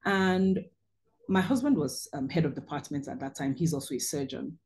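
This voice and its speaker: A middle-aged woman speaks into a video call from a small room and hosts a talk over slides. Her voice sits low and mellow, and she tells her story earnestly with many pauses and ums. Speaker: webinar host and surgeon.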